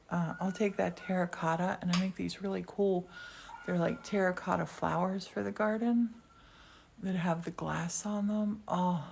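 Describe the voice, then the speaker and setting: She is an enthusiastic woman giving a casual live studio demonstration. Her voice sits on the low side, with a creaky edge, and she talks at a leisurely pace. She chats to viewers as she goes. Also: noisy background. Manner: enthusiastic, chatty. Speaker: glass artist hosting a live studio demonstration. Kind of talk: casual live-stream chat and commentary. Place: glass studio workshop.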